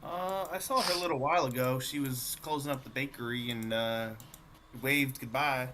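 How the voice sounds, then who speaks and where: A man's voice: mid-range voice, moderate pace, bright, forceful tone, slight background noise, close to an everyday voice. Man as an easygoing, casual weaponsmith. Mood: casual, reassuring. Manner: casual, laid-back. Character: easygoing, casual male weaponsmith, a bit distracted. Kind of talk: tabletop role-play.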